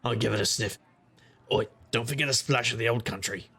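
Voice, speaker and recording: An actor playing a hard-drinking, roguish private investigator in a noir audio drama, gruff and husky, with wry, world-weary wisecracks. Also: male voice, medium pitch, fairly quick, pushed and bright. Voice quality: gravelly, husky.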